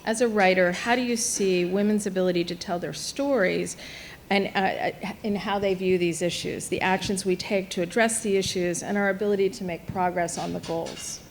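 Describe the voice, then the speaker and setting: A woman's voice: mid-range voice, fairly even intonation, normal speed, a little hiss or hum. A woman moderating a panel at a luncheon, upbeat as she puts questions to the speakers. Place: luncheon event hall.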